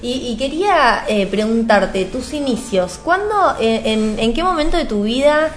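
A woman's voice: a little high, lively intonation, unhurried, slight background noise. A woman with an Argentine accent co-hosting a radio show and interviewing a guest with gushing interjections. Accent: Argentine Spanish. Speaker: radio co-host.

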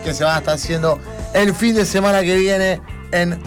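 Male radio host, Rioplatense Argentine, high pitch, chatty and casual, music underneath. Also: steady tone, moderate pace. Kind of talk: casual live radio chat.

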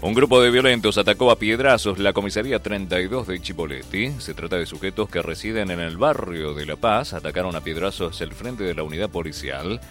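A man's voice: deep voice, fairly quick, hardly any pauses, soft background music. A man reading a formal radio news bulletin. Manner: formal, steady read. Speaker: radio newsreader.

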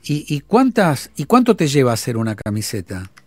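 A man's voice: medium pitch, very animated, normal speed, darkish tone, no room sound. A man with a Rioplatense accent hosting a phone-in, probing the caller with insistent questions and recapping her figures. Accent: Rioplatense Spanish. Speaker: radio host.

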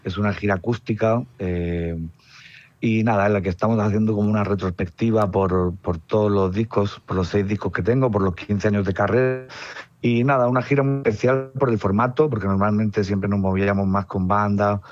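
Low-voiced Murcian man on a phone line. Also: fairly quick, no room sound. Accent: southern Spain, Murcian. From Murcia, Spain. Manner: relaxed, reflective, with fillers like "pues" and "nada". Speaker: musician guest. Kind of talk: phone interview. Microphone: telephone line.

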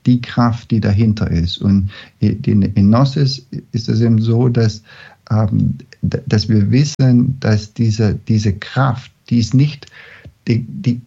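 Slow, gravelly man lecturing haltingly. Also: fairly low pitch, steady tone, dark tone, highs cut off. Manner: halting, searching for words, many restarts. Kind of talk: online spiritual lecture.

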